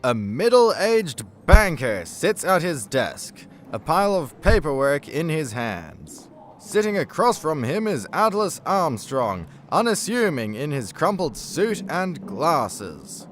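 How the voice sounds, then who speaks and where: A man's voice: on the high side, very animated, slow pace, soft background music. A man narrating a scripted superhero comedy radio play with a dramatic announcer's delivery.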